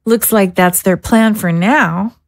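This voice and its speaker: A woman's voice: mid-range voice, takes it slowly, vocal fry, no echo. A woman playing a guarded, disillusioned young woman in an audio drama, speaking in a hesitant, downbeat way. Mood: pessimistic. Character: guarded, disillusioned young woman, modest former militia member.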